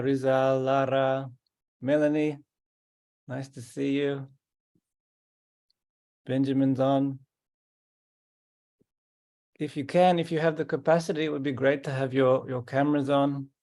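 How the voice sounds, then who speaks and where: A man hosting an online meeting, welcoming each participant calmly and often repeating his words. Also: mid-range voice. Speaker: host. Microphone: video call.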